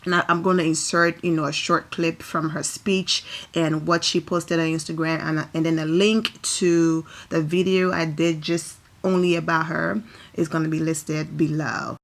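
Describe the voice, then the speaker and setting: A woman's voice: deep voice, flat delivery, moderate pace. Chatty woman from Liberia. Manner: chatty, enthusiastic, apologetic asides. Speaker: fashion vlogger. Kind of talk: casual commentary. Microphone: home voice recording.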